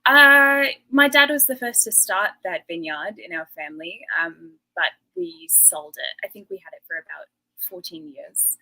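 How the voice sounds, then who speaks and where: A woman's voice: lowish voice, moderate pace, sharp tone, low-quality recording. An Australian woman in her thirties, a guest chatting casually and laughing often. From Western Australia. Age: 30s. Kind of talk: casual interview chat.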